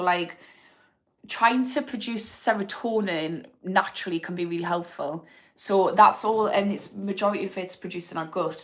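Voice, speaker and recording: Woman, slightly low-pitched, casual and frank, livestream host answering comments. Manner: chatty and frank, thinking aloud with fillers. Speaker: host of an addiction recovery channel.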